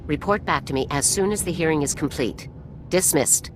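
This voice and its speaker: Actress as stern female military commander, deep voice, formal briefing tone, video game cutscene. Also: moderate pace, slight background noise, lightly acted. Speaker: commanding officer. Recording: music behind the voice.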